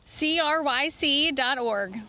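A woman's voice: high voice, lively intonation, takes it slowly, pushed and bright. A young woman from New Jersey giving informal interview answers outdoors as a camp director. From New Jersey, United States. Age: young adult. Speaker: sailing camp director, schoolteacher. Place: outdoors on a waterfront dock. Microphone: interviewer's handheld microphone. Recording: outdoor sound with faint noise.

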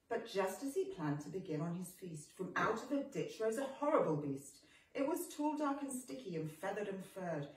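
A woman's voice: lowish voice, a little mellow. A middle-aged woman reading a rhyming children's picture book aloud expressively. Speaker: storybook reader. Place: indoor living room, seated on sofa. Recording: echoey room, slightly distant microphone.

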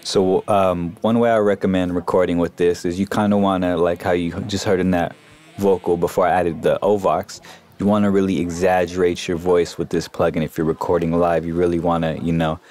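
A man giving a casual, informal software tutorial as a voice-over on a close mic. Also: low voice, level tone, a little fast. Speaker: music production tutorial host.

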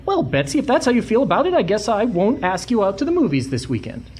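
A woman's voice: medium pitch, sing-song, fast, a little mellow, a little hiss or hum, lightly acted. A woman playing a cheeky, touchy young delivery boy in a comic 1940s-style audio drama, slangy and wisecracking. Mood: needling.

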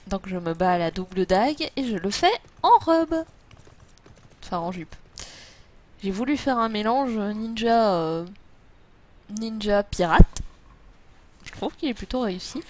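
Woman, French accent, game streamer, casual live commentary, playful, self-mocking, medium pitch.

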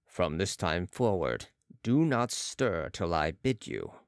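A man voicing a serene, commanding mesmerist with calm mystical authority in an audiobook. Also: low-pitched, slow pace, no room sound, studio quality, pitched below the performer's own voice, heavily acted. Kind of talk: audiobook character voice.

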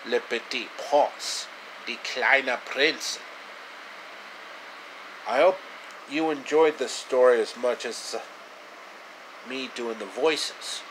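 A man in his thirties narrating a homemade story with halting delivery, recorded at arm's length in a small room. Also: medium pitch, background noise. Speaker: storyteller, video creator. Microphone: phone or webcam at arm's length.

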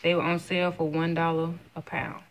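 A woman's voice: sits low, completely flat delivery, fairly quick. Chatty woman listing grocery prices. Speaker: vlogger. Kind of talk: casual grocery haul show-and-tell. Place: home kitchen, indoors. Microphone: phone camera held close.

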